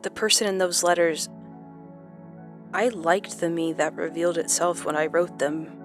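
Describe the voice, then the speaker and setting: A woman's voice: lowish voice, flat delivery, normal speed, faint music behind, natural voice. A woman playing a troubled, introspective woman haunted by fractured memories, speaking solemnly in a horror audio drama. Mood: wistful, uneasy.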